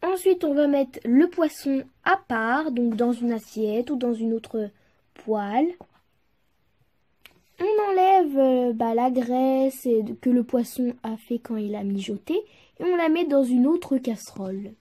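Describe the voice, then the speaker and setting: A woman presenting a homemade news show and narrating step by step, with a hesitant, halting delivery. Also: a little high, warm tone.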